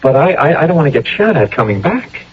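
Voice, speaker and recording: An actor playing a nervous, folksy young American soldier in a radio drama, stammering and deferential, with a husky voice. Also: male voice, fairly high pitch, sing-song, quick pace, heavily acted. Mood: flustered. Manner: stammering, hesitant, deferential, ungrammatical. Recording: dull old radio broadcast recording.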